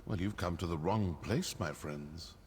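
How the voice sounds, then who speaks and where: An actor playing a sly, sardonic assassin in a fantasy game, theatrical, dry and condescending. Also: male voice, low-pitched, measured pace. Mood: sardonic, defiant. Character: sly, sardonic male assassin of a secret guild. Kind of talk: scripted fantasy game acting. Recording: long reverb, faint noise.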